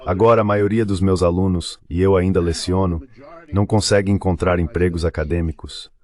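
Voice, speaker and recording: A man's voice: low-pitched, fairly even intonation, brisk, a little mellow. A man with a Brazilian accent reading a translated interview as a voice-over.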